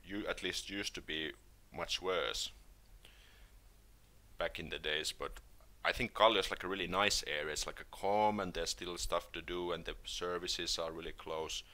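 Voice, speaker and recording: A man with a Finnish accent hosting a relaxed live stream and answering questions from the chat. His voice sits low but has a bright, forward edge. He talks loosely and hesitantly, restarting sentences and filling pauses with "like" and "uh". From Finland.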